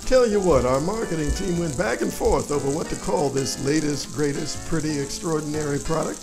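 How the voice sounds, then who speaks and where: A man playing a folksy, eager breakfast-meat pitchman with hearty sales patter in a parody radio commercial. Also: moderate pace, over music, natural voice, low-quality recording.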